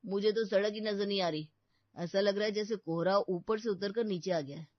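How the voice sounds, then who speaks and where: A woman's voice: mid-range voice, a little fast, no echo, natural voice. A woman voicing a dry-witted young man in a cartoon, wry and matter-of-fact. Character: dry-witted elder brother, office worker. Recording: dull, muffled audio.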